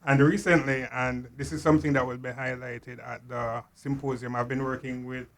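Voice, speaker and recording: A man speaking deliberately and somewhat formally, unscripted, as a health professional guest in an interview. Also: medium pitch, little pitch movement. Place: studio. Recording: clear background noise, room ambience.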